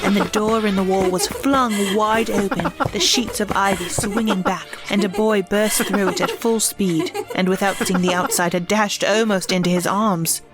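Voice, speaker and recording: A woman narrating an audio drama in a measured, expressive way. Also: medium pitch, music in the background.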